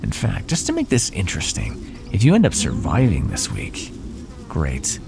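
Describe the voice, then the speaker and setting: A bored naga predator, played by a man for fantasy ASMR roleplay. His voice is very low and gravelly, with lively swings in pitch. He talks down to his prey with casual, dry, teasing menace. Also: moderate pace, music in the background, exaggerated voice. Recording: echoey, long cave-like reverb.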